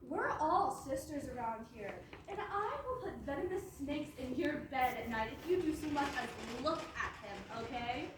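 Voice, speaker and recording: A woman's voice: sits high, animated, moderate pace, hardly any pauses. A woman playing a sugary, possessive young princess with a menacing edge, gushing theatrically in a comic stage play. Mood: sugary menace. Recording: very echoey hall, far mic, noisy.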